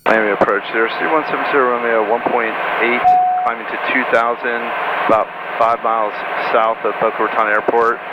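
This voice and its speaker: A man's voice: mid-range voice, steady tone, a little fast. A male pilot making clipped aviation radio calls from a small airplane cockpit. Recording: muffled audio, loud airplane cabin noise.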